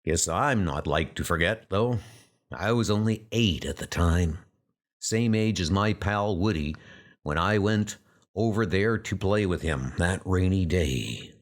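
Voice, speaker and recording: A man's voice: sits low, animated, unhurried. A man playing a folksy, matter-of-fact small-town sheriff in a horror comic dub. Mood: grim, reflective. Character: small-town sheriff recounting grim old deaths.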